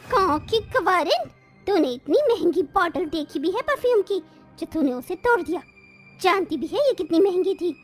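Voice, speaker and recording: A haughty household sister-in-law, acted by a woman, with a very high, piercing pitch. She is bossy and sneering, a scolding figure from a moral tale, with music playing behind her. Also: very animated, normal speed. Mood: furious scolding. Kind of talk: acted Hindi moral story dialogue.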